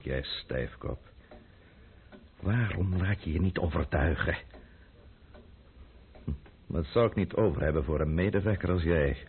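An actor in a Dutch radio play, voicing a haughty, threatening French count with a pompous, sarcastic manner. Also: male voice, deep voice, slightly rough, exaggerated voice. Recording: muffled phone-like old radio recording.

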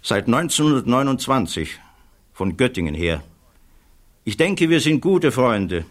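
An actor playing a thoughtful physicist giving measured, earnest testimony in a German radio play. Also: male voice, mid-range voice, big pitch swings. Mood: candid, assured. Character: thoughtful male physicist witness, earnest, troubled by conscience.

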